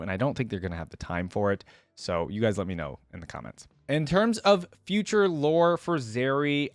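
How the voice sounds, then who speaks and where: A man's voice: lowish voice, no echo. A man hosting a reaction video, talking casually and enthusiastically in a rambling, conversational way. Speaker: YouTube reactor, host.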